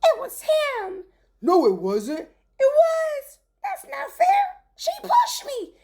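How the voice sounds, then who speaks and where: A woman voicing indignant storybook shoppers who whine and shout accusations at each other. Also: very high voice, very animated, takes it slowly, voice pushed up, exaggerated voice. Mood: indignant, accusing.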